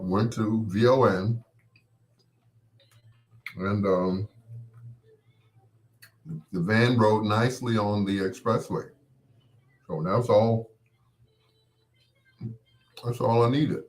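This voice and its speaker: A man with a fairly low voice talks as a show host in casual, rambling chat. He is folksy and playful, telling things as loose anecdotes addressed straight to his listeners. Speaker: host of a late-night show.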